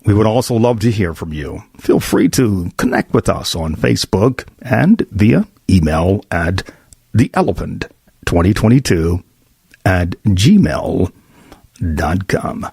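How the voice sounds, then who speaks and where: A man, a bishop hosting a podcast roundtable, asking questions in deliberate, formal phrasing. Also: sits low, lively intonation, gravelly, a little mellow, breathy edge, dry recording. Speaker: podcast host. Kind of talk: roundtable interview.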